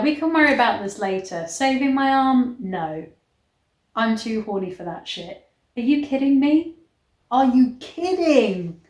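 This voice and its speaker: A woman reading a novel aloud in a mock-dramatic way, breaking off for blunt, incredulous commentary. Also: medium pitch. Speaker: online reader and commentator. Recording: roomy, echoey sound.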